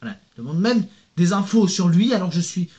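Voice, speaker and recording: Young male YouTuber, higher-pitched, slangy casual rant to camera, full of fillers and restarts. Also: brisk. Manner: animated, slangy, addresses viewer with "tu vois" and "gros"; reads out hostile comments, many fillers and restarts.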